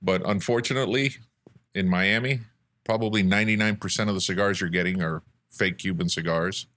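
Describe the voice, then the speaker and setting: A laid-back American man with a deep voice, the kind of brand rep heard chatting on an interview recorded without any room echo. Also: brisk, a bit bright. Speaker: interview guest, cigar brand ambassador. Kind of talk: casual chat.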